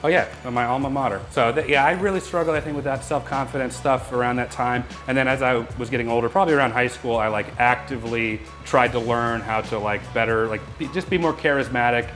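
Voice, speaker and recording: Fast-talking raspy man with a cold. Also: medium pitch, a bit bright, soft background music. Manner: candid and chatty. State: hoarse from a cold. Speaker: channel host. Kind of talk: casual reaction chat.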